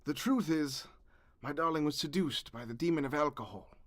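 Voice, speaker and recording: A man's voice: a little high, normal speed, a bit bright, lightly acted. A man playing a pompous, callous, rich newspaper owner with a grandiose manner in a comedic audio drama. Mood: self-justifying.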